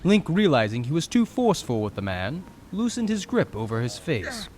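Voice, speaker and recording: Animated male story narrator. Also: fairly high pitch, moderate pace, slight background noise. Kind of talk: dramatic scripted story narration.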